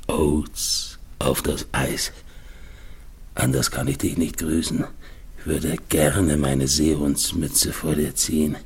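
Tormented expedition leader, deep gravelly voice, slow halting delivery, repeated words, despairing, radio drama monologue. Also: male voice, heavily acted. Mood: weary, solemn. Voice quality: husky, gravelly. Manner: halting, repeats words, swings between murmurs and desperate calls. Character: dying polar expedition leader, tormented, despairing, talking to himself. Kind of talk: German radio play monologue.

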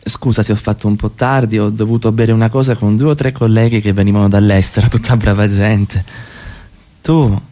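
Actor playing a blunt, insistent husband in radio drama. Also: male voice, lowish voice, steady tone, brisk, dark tone, natural voice. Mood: polite, probing. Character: middle-class husband playing Max the lover, blunt, cruel, teasing. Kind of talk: radio theatre acting.

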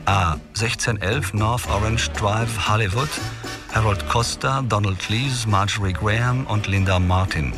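An actor reading a police report aloud in clipped note form for a radio drama. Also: male voice, lowish voice, measured pace, far from the mic, over music, lightly acted.

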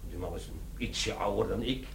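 An actor playing a middle-aged company boss in a comic radio play, formal, dryly polite and condescending. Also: male voice, on the low side, normal speed, vocal fry. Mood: dismissive. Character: officious, dry, sceptical middle-aged male company boss. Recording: echoey room, faintly noisy radio recording.

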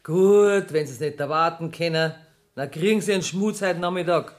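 A woman's voice: fairly low pitch, moderate pace, close to an everyday voice. An actress playing a brash, scheming woman in a radio play, speaking brusquely in Bavarian dialect. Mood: defiant. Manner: brusque, bossy. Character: brash, scheming, cash-strapped woman, bossy and defiant.

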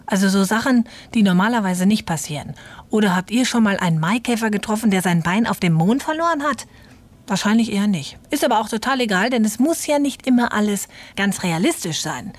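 A woman's voice: mid-range voice, expressive, fairly quick. Woman presenting chattily to children. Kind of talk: chatty scripted introduction addressed to children.

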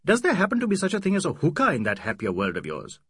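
A man voicing an excitable, playful young male doctor, loud and quick in an audiobook reading. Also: husky, no room sound, studio quality, heavily acted. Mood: eager. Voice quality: loud, high and animated.

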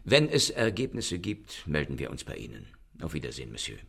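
Deep-voiced, formal police inspector. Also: male voice, wildly swooping pitch, a little fast, creaky, fairly bright tone, breathy edge, heavily acted. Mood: businesslike. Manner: formal, matter-of-fact. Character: businesslike Paris police inspector, composed adult man. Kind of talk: radio play acting.

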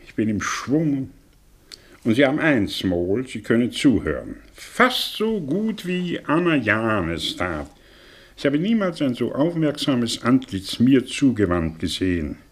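Theatrical, swooping older professor. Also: male voice, medium pitch. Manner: theatrical, sardonic, grandiloquent. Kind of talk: 1960s German radio play acting.

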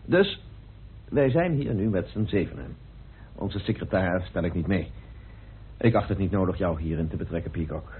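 An actor playing a methodical, insistent amateur detective in a radio play, speaking deliberately with theatrical pauses as he accuses. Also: low-pitched, expressive, a little hiss or hum. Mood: challenging, insistent. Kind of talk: radio play acting in Dutch. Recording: muffled old radio drama recording.

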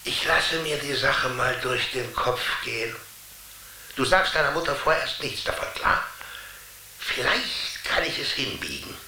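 An actor playing a kindly, scheming being from a television world in a radio drama, speaking colloquially and conspiratorially. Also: male voice, medium pitch, expressive, unhurried, bright, forceful tone. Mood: assured, confiding. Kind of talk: German radio drama acting. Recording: roomy sound with faint hiss.

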